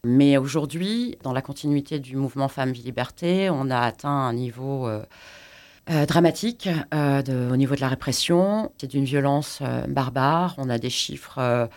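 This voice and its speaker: A woman from France, an invited artist, answering interview questions haltingly with many fillers and restarts. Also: very deep voice, darkish tone. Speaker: guest artist. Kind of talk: studio interview.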